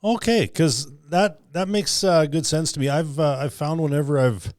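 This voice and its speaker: A man hosting a podcast interview, chatting in a curious, animated way and often chiming in to agree. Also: on the high side, moderate pace, no echo, studio quality. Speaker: podcast host. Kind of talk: casual interview chat.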